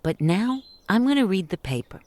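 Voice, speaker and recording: A woman's voice: mid-range voice, sing-song, moderate pace, mellow, natural voice. A woman playing a dry, teasing, curious housemate in a radio play, with a wry way of talking. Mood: dry, needling.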